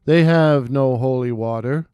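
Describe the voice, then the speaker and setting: Man voicing a slow, commanding walking corpse. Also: medium pitch, dark tone, smooth, no room sound, muffled recording, natural voice. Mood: grim command. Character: commanding male walking corpse, blood-drinking and sinister.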